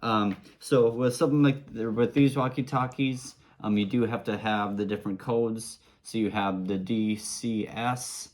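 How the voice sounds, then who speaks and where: A man's voice: lowish voice, darkish tone. A man giving a casual product demonstration, explaining simple steps in an easygoing, informal way. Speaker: product reviewer.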